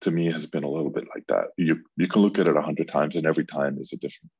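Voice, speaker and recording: A man's voice: extremely low pitch, fairly even intonation, rapid-fire, a little mellow, breathy edge, no room sound, lo-fi sound. A man from Colombia, a furniture designer talking casually as an interview guest, rambling with many fillers and restarts.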